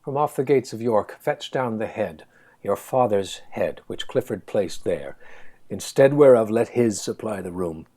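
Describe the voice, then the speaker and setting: A man plays a stern, assured warlord earl in Shakespearean audio drama. The voice is rough, husky and fairly low, declaiming his commands with confidence. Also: animated, unhurried, dark tone. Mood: vengeful. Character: stern, forceful medieval kingmaker earl.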